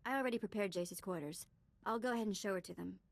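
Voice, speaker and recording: A woman's voice: mid-range voice, fast, creaky, no echo, close to an everyday voice. An actress playing an eager, devoted young female follower of a Sith in a video game. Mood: helpful. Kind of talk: scripted game dialogue.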